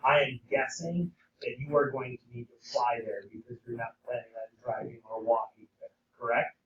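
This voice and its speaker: A middle-aged male teacher with a hoarse voice, lecturing a class and checking in with frequent "okay?" questions. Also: mid-range voice, fairly even intonation, normal speed, slight background noise. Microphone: camera at a distance. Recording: roomy, distant, dull classroom sound.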